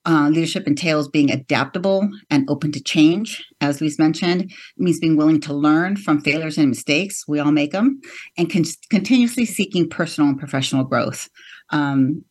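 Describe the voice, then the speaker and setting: A woman's voice: deep voice, moderate pace, a little mellow, slightly rough. A woman guest in an interview, earnestly laying out her views with frequent "you know" and "um" fillers. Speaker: guest, media executive. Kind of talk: interview answer.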